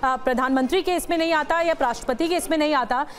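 A woman's voice: high-pitched, fast. A woman news anchor in a television studio, delivering a live breaking-news bulletin with urgent, emphatic repetition.

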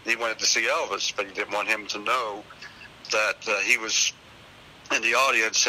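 Bright-voiced older man on a hissy call. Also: lowish voice, poor audio. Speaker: phone-in guest, singer and website owner. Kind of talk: casual anecdotal chat. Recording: hissy radio call-in with clear noise.

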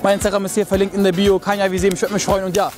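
A man's voice: high voice, monotone, a little mellow, soft background music. A young man in his twenties, a joking street interviewer firing off questions outdoors on a busy shopping street with a handheld mic. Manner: upbeat, joking, quick questions.

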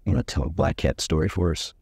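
A man's voice: rumbling low, fast talker, a little mellow, slightly rough, no room sound. A man interviewing an elderly veteran, prompting him with questions. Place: museum event room, indoors.

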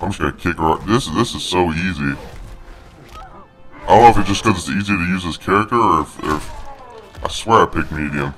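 Very deep, cocky monster-voiced man. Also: brisk, long pauses, fairly bright tone, faint music behind. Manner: cocky, crude trash talk. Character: snarky, boastful big male monster brute. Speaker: gaming video host. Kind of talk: snarky gameplay commentary in a put-on monster voice. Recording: home recording with noisy game sound. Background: fighting game sound effects.

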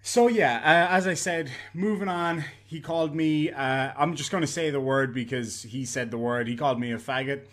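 A man's voice: medium pitch, moderate pace, hardly any pauses, fairly bright tone. A man in his thirties with an Irish accent, talking bluntly and profanely to a webcam in a room at home. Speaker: YouTuber. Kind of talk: casual vlog rant.

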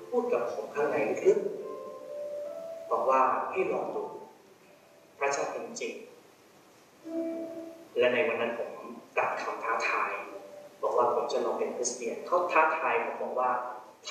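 A man's voice: mid-range voice, fairly bright tone, a little hiss or hum. A man with a Thai accent preaching an earnest altar call, polite and pleading, in a large church hall. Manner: polite, earnest, many polite particles. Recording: very echoey hall from far off.

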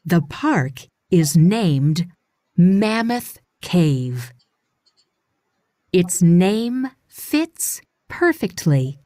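Woman narrator for children's nonfiction read-along; extremely slow, animated intonation, dry studio sound. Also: on the low side, no room sound. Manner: deliberate, expressive phrasing for young readers. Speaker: audiobook narrator.

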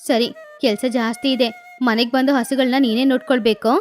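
A woman voicing a caring, hardworking mother sparrow who speaks calmly and gives instructions. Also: a little high, fairly quick, soft background music, lightly acted. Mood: calm motherly.

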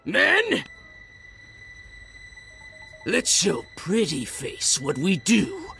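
An actor playing an aggressive, short-tempered gang thug in a video game, taunting and menacing. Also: male voice, sits high, wildly swooping pitch, very slow, creaky, sharp tone, soft background music, full character voice. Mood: angry, menacing. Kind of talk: video game dialogue acting.

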